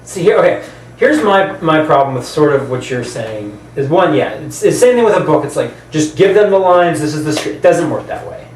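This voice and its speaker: A man's voice: a little high, animated, brisk, darkish tone. Man in casual debate, roomy distant recording. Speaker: podcast co-host. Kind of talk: casual opinionated discussion. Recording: roomy, distant mic, background noise.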